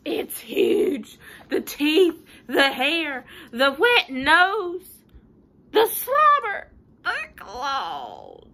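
A woman voicing a dramatic, squeamish storybook pigeon in loud, exclamatory outbursts. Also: high-pitched, huge pitch swings, very slow, voice pushed up, full character voice. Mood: alarmed. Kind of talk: children's picture-book read-aloud.

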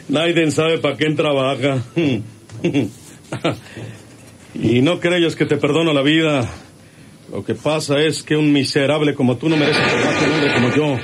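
An actor playing a menacing, mocking bandit with a rural northern Mexican accent and rustic drawl in an old radio drama. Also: male voice, on the high side, slow, long pauses, slight background noise. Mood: gloating. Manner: drawling, taunting, threatening.

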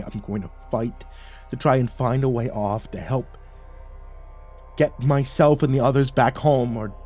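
A man narrating a first-person horror story in a tense, halting way with stammered restarts. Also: medium pitch, mellow. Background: faint music.

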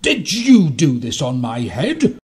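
A man voicing an indignant, short-sighted little mole from a children's storybook, with loud, drawn-out, demanding questions. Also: a little high, huge pitch swings, heavily acted.

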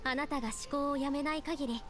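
A woman playing a gentle, devout young woman in a Japanese anime game, speaking earnestly and politely. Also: sits high, steady tone, fairly quick, over music, close to an everyday voice. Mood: solemn storytelling. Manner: earnest, polite feminine phrasing.

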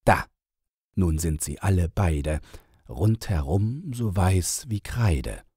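A man narrating a storybook in verse as an audiobook reader, measured and with dramatic pauses. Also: low voice, lively intonation, mellow, breathy edge, dry recording, studio quality.

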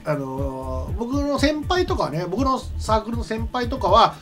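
A man's voice: high voice, sing-song, a little slow. A man co-hosting a show, chatting casually and enthusiastically about old games into a studio mic. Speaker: co-host, games writer. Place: small streaming studio, indoors. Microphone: studio mic on a table stand. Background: background music.

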